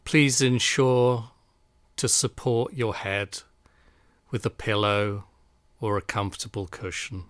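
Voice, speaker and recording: A man guiding a relaxation meditation in a calm, soothing, unhurried manner. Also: on the low side, no echo. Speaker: meditation guide.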